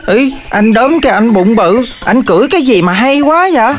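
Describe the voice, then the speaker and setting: A woman voicing an excitable, wide-eyed little girl in a children's cartoon, with a Southern Vietnamese accent. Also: fairly high pitch, brisk, natural voice. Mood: wonderstruck. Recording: loud music over the voice.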